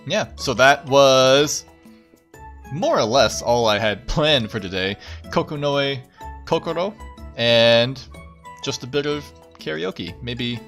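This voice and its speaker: A man's voice: mid-range voice, slow, fairly bright tone. A young man hosting a livestream, chatting casually in a self-deprecating way and often repeating his phrases. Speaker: VTuber livestream host. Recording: background music. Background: music.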